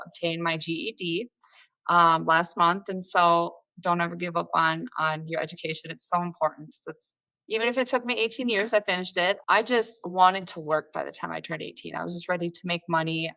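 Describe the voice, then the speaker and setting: Woman, 30s, from northern Minnesota, podcast guest, slightly low voice, candid, hesitant. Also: sharp tone, low-quality recording. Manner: candid, with frequent "um"s. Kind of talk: conversational interview.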